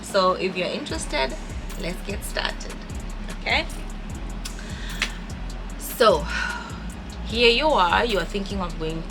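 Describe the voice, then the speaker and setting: A woman's voice: medium pitch, lively intonation, slow, pauses often. Chatty Kenyan woman vlogging over music. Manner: chatty, upbeat, repeats words often. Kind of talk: casual vlog talk to camera.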